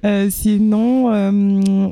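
A woman singer and musician from France, interviewed, speaking hesitantly with many pauses and fillers. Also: medium pitch, steady tone, dark tone, slight background noise. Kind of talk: casual interview.

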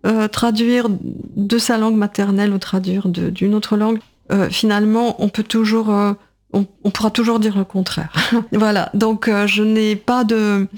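An older woman, a writer and translator whose first language is not French, answering interview questions thoughtfully with many pauses and fillers. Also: medium pitch, little pitch movement, a little mellow.

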